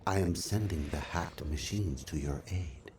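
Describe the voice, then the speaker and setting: An actor playing a calm, solemn machine guide giving formal, measured mission briefings in a game. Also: male voice, deep voice. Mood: grave. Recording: loud background noise and ambience.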